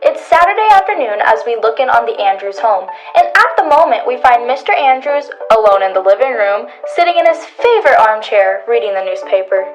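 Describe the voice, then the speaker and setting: A woman's voice: a little high, animated, a little fast, few pauses, over music, poor audio. A young woman reading scripted narration as the announcer of an old-time radio show. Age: teenager or young adult.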